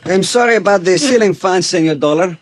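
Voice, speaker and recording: A man playing a relaxed, courteous Venezuelan police chief with a Spanish accent in a 1950s radio drama, haltingly searching for English words. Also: high-pitched, dry recording, soft background music, natural voice. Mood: courteous, evasive.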